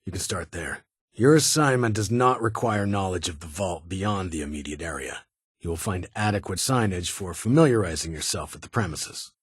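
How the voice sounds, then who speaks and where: Husky, stern male vault security chief. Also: deep voice, dry recording, studio quality. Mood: curt, wary. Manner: formal, clipped, measured phrasing. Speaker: video game voice actor. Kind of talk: scripted game character dialogue.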